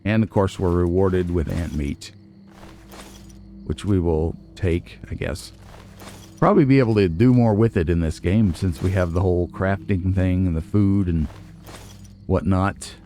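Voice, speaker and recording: A man's voice: sits low, a little slow, warm tone, slight background noise. A man hosting a let's play, chatting casually and playfully to himself and viewers with folksy, wry asides. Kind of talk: casual game commentary, talking to himself and the viewers.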